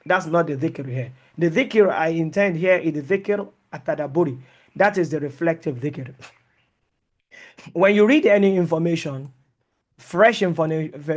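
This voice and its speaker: Halting man teaching, high-pitched. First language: not English. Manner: hesitant, many uh and um fillers; mixes in Arabic and Islamic terms. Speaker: Sharia teacher. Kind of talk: online lecture with live Q&A.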